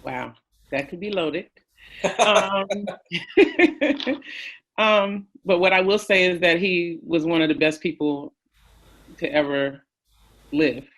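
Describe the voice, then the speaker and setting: A woman in her fifties, answering an interview question in a thoughtful, unhurried way with many fillers. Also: on the low side, a bit bright. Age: 50s. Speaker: interview guest, family member.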